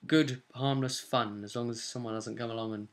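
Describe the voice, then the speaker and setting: A man's voice: medium pitch, fairly even intonation, normal speed. A British man in his twenties talking casually to camera in a rambling, conversational way as a game master, recorded in a room at home. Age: 20s. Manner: rambling, conversational, with tag questions. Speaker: game master recapping sessions as vlogger. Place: small indoor room at home.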